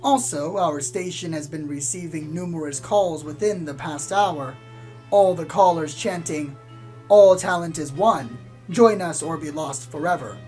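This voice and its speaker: A woman playing a calm small-town pony radio host in an audio drama, reporting eerie doom in a measured, deadpan news style. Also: low-pitched, soft background music. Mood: deadpan, ominous. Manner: measured, deadpan about sinister things. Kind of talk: scripted spoof community radio news narration.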